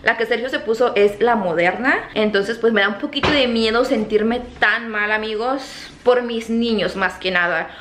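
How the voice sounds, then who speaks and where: A woman in her thirties from Mexico, with a softened Mexican accent, talking casually to a close camera in her home kitchen, full of fillers and repeated words. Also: fairly high pitch, normal speed, hardly any pauses. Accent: Mexican Spanish, softened toward neutral. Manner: chatty, animated hand gestures, many fillers and repeated words. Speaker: vlogger. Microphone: camera close to face. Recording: echoey kitchen, faint room hum.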